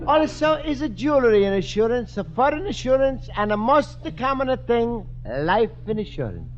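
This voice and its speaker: A very high, lively male voice with a thick Italian accent. He is a naive, earnest immigrant shopkeeper speaking broken English, heard on a dull old radio comedy recording. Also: moderate pace, a little hiss or hum. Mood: earnest, amused. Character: cheerful naive Italian immigrant man, antique shop owner. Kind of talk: 1950s radio comedy acting.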